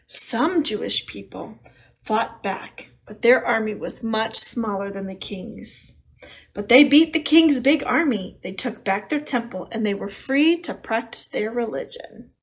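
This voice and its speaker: High-voiced woman reading to children. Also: measured pace. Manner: chatty, simple child-directed delivery. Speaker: storytime host. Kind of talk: children's picture-book read-aloud with casual asides.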